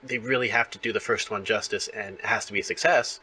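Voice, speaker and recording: A man's voice: fairly low pitch, fairly even intonation, fast, bright, forceful tone, breathy edge, poor audio. A man hosting a casual interview, talking like an enthusiastic fan. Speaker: interview show host. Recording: music playing behind the voice.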